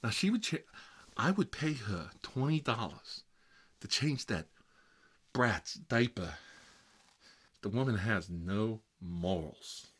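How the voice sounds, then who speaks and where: A man playing a fussy, gossipy bachelor uncle in an improvised comedic ASMR roleplay, rambling and confiding in a prissy, halting way. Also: lowish voice, animated, a bit bright, husky, exaggerated voice. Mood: gossipy, indignant.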